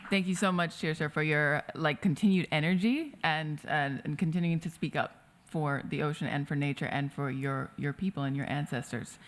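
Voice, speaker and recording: A woman's voice: low voice, normal speed, slight background noise. A woman moderating a summit panel in a friendly, upbeat way, in a conference room.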